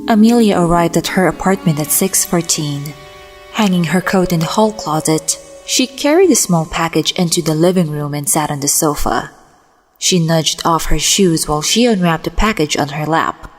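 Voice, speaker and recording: A woman's voice: deep voice, lively intonation, normal speed, faint music behind. A woman voice actor giving a dramatic reading of a short story, with long reverb around her voice. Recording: long reverberant hall echo.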